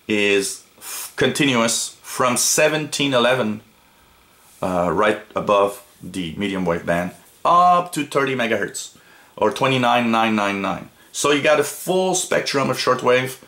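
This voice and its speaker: A man giving an unscripted product review as a reviewer, in a halting way with frequent pauses. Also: mid-range voice, fairly bright tone. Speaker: radio reviewer. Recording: noticeable background noise.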